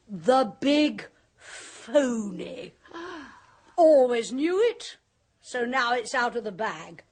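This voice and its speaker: A woman's voice: mid-range voice, husky. An actress playing a jaded woman who has faked being a spirit medium, speaking in a theatrical, mocking, clipped manner in a radio drama. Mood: bitter. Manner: theatrical, mocking, clipped upper-class delivery. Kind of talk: 1970s radio drama acting.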